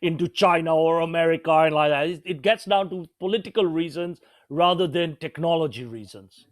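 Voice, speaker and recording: A man's voice: on the high side, a little fast. A man co-hosting an online panel, blunt and opinionated, with a teasing edge, on a video call. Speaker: co-host. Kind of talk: casual online panel chat.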